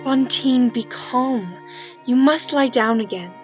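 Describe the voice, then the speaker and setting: Woman acting a gentle nun nurse in a radio drama, slow and soothing, bit high, quiet violin music behind. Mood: soothing, concerned. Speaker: actor.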